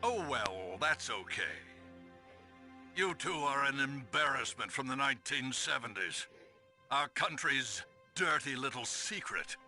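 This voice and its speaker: Male villain official, mid-pitched, smug and officious, dramatic game scene with clear music. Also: expressive, a little slow. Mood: coldly dismissive. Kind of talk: dramatic video game voice acting. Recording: loud music behind the voice.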